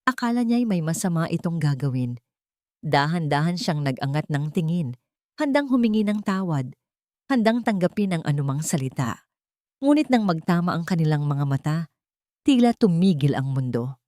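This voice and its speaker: Low-voiced woman narrating a dramatic story. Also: big pitch swings, normal speed, dark tone, no room sound, studio quality.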